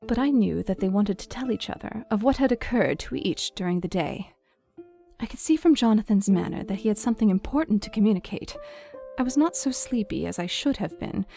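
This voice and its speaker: An actress playing a gentle, weary, anxious young Victorian wife, confiding a diary in an audio drama. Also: female voice, mid-range voice, vocal fry, natural voice.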